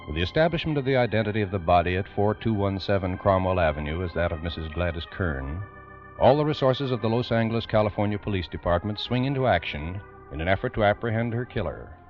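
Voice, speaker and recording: Low-voiced male radio crime narrator. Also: lively intonation, quick pace, faint music behind. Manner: formal, dramatic. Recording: dull old radio recording.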